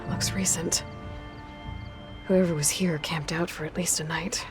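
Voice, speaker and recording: Woman doing a steady young huntress in a game, matter-of-fact, music playing under. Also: lowish voice, a little slow, natural voice. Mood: focused. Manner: calm, focused, matter-of-fact. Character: young female hunter, determined and focused. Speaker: game protagonist. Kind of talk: video game voice acting.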